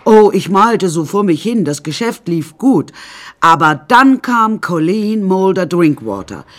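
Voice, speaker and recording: A woman's voice: mid-range voice, big pitch swings, measured pace. A woman playing an eccentric painter who tells her troubled life in a wry, rambling, matter-of-fact way, as radio drama narration. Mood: brisk, confiding.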